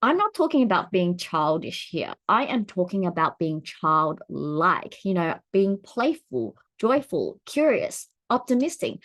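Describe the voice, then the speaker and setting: A woman in her forties vlogging to camera in a casual, chatty way, laughing often, with her pitch swinging up when she gets excited. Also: a little slow, few pauses. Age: 40s.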